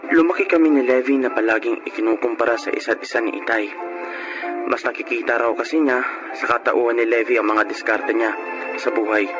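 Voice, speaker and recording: A man with a Filipino accent playing an earnest, modest young man in a radio drama, sincere and measured. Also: mid-range voice, muffled recording, natural voice. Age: young adult. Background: music.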